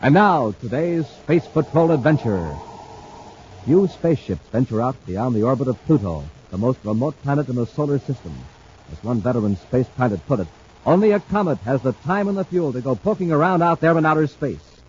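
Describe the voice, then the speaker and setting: A man's voice: mid-range voice, very animated, fairly quick, darkish tone. An American man announcing and narrating in a punchy, theatrical 1950s radio style. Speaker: radio announcer and sponsor pitchman. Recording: dull old radio recording, faint noise.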